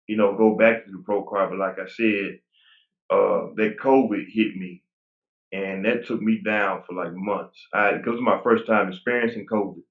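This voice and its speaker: A man's voice: lowish voice, normal speed. Rambling forties man over a tinny line. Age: 40s. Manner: relaxed, rambling with frequent restarts. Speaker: guest bodybuilder. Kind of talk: casual interview talk. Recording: tinny phone-like line, roomy echo.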